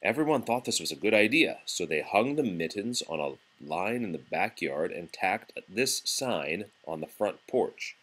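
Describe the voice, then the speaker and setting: A man reading a picture book aloud to a young child in an expressive, patient, encouraging manner. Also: low voice, a little slow, hardly any pauses, breathy edge. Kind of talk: storybook read-aloud.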